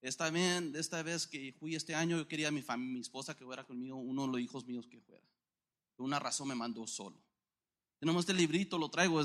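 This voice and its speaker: A man speaks to a church group about his faith. His voice is a touch high for a man, and he talks informally with light humor, leaning on frequent fillers. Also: sharp tone. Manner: informal and joking, many "este" fillers. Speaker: church member giving a testimony. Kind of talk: personal testimony in church.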